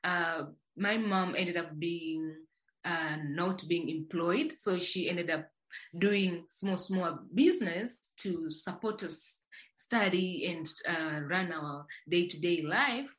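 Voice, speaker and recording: A woman's voice: lowish voice, slow pace, fairly bright tone, poor audio. A woman from Tanzania, a company founder, telling her life story as a podcast guest in a reflective way, often restarting her sentences. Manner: reflective, many restarts and fillers. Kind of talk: casual interview.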